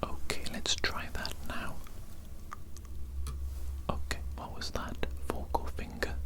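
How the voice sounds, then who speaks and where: A person whispering as a politely menacing evil doctor in an ASMR roleplay, speaking deliberately with soft, hesitant asides. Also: lightly acted. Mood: clinical, coaxing.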